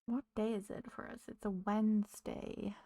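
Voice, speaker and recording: A woman's voice: medium pitch, level tone, normal speed, warm tone, dry recording. A woman streaming a game, chatting casually with viewers while losing her voice. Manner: chatty, greets viewers, talks to game characters. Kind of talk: casual live-stream chat while playing.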